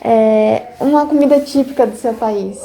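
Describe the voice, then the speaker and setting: A woman's voice: a little high, unhurried, gravelly, dark tone, reverberant. A teenage girl asking short, simple interview questions for a school podcast. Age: teens. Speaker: student interviewer.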